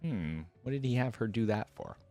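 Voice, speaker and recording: A man's voice: mid-range voice, lively intonation, moderate pace, mellow, no echo, faint music behind. A man hosting a reaction video, informal in manner, moving between reading a story aloud and casual commentary.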